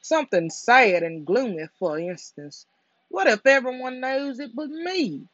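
A storyteller voicing a gentle, simple-minded male storybook bear, musing and earnest with a slight fret. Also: high-pitched, animated, unhurried, no echo, dull audio, voice pushed up. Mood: earnest, fretful.

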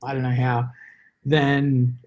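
A man's voice: mid-range voice, completely flat delivery, takes it slowly, a little mellow, rough recording. Rambling, jokey Australian man chatting. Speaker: interview guest, former special forces soldier. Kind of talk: casual podcast conversation, storytelling.

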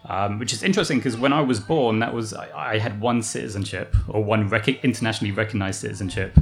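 A man's voice: fairly low pitch, fast, vocal fry. A man with an English accent, a guest telling his family story in a chatty, rambling way. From England. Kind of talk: casual interview answers.

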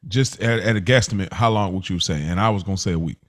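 A man hosting a podcast in a casual recap style, slangy and excitable, often repeating himself for emphasis. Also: low-pitched, fast talker, no room sound, clean studio sound. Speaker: podcast host.

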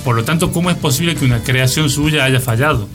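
A man's voice: mid-range voice, fast. A man with an Argentine accent hosting a radio show with casual, mock-formal banter. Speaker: radio host. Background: guitar music.

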